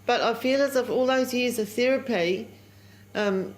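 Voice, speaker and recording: A woman speaking openly and emotionally in an interview, halting now and then as she reflects. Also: on the high side, rough recording. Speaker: project participant. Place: near a park in New Zealand.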